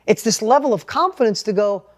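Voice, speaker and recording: A middle-aged man with a New York accent and a high-pitched voice, giving an animated, plainspoken motivational talk about sales. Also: fairly quick. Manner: animated, plainspoken, repeats and restarts words. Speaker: entrepreneur and sales educator. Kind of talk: motivational talk with personal story.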